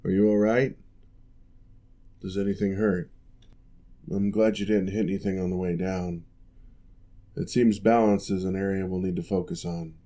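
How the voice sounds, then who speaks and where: Deep growly orc guy, a man putting it on, ex-general turned physio, calm and patient, dark voice. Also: lightly acted. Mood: reassuring. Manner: calm, measured, patient instructions. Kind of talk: ASMR roleplay.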